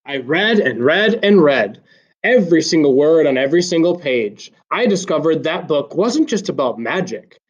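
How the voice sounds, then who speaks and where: A man reading a children's story aloud like a teacher, with expressive, deliberate emphasis. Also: on the high side. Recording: slightly dull, lo-fi sound.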